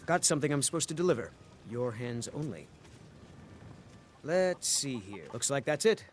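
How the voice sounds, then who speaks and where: An actor playing a brisk, businesslike male courier in a fantasy video game, speaking in clipped, matter-of-fact phrases. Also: medium pitch, very animated, a little hiss or hum, close to an everyday voice.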